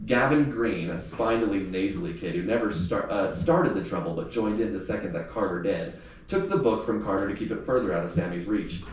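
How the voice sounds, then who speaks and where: A man reading youth fiction aloud in an expressive, audiobook-like storytelling style. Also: on the low side. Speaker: author reading aloud from a novel. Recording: echoey room, distant mic, faint noise.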